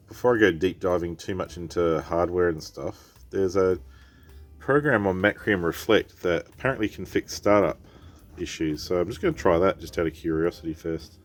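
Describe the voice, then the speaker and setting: A man with a head cold, rambling casually as he repairs a computer and thinks aloud. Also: low voice. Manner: rambling, thinks aloud, reads on-screen text. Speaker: PC repair vlogger. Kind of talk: casual rambling commentary while working.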